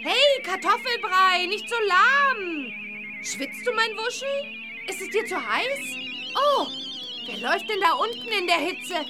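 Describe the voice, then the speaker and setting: Cheeky little schoolgirl witch, very high voice, swooping melody, cheerful, children's radio play. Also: measured pace, over loud music, full character voice. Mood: cheerful, playful. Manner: lively, singsong when chanting spells. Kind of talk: children's audio drama acting.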